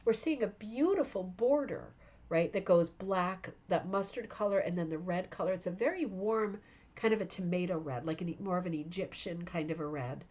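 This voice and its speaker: A woman's voice: lowish voice, normal speed, darkish tone, muffled recording. A woman hosting a rug hooking club stream, tired and losing her voice, talking enthusiastically and rambling while chatting with viewers by name. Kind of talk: live stream show-and-tell chat.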